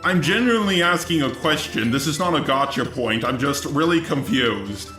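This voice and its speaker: A man's voice: fairly high pitch, animated, moderate pace, pushed and bright. A man delivering argumentative scripted commentary in a sarcastic, indignant manner. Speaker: commentary video host. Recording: roomy echo with music underneath. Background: music.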